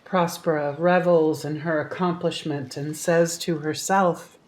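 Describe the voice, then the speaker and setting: A woman's voice: low voice, fairly even intonation, unhurried, darkish tone. A woman narrating an audio drama, reading out scripted stage directions. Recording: echoey room, remote recording.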